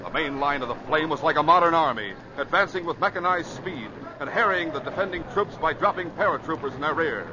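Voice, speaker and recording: A man's voice: on the high side, animated, a little fast, few pauses, natural voice. A man narrating an adventure serial in a dramatic, scripted old-time radio style. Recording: noisy, muffled old radio recording.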